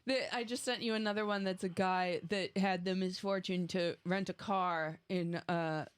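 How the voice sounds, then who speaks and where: A woman's voice: lowish voice, steady tone, normal speed, clean tone, no room sound. A woman co-hosting a podcast, chatting casually and relaying news items. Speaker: podcast co-host. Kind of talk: casual chat and reading news aloud.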